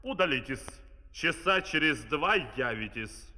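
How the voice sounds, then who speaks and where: Pompous dictator, ringing in a hall. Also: male voice, a little high, lively intonation, measured pace, sharp tone, full character voice. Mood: commanding, pompous. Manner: pompous, commanding. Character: imperious villainous dictator, a man issuing curt orders. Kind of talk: theatrical children's audio play acting. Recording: 1970s vinyl record, long hall reverb.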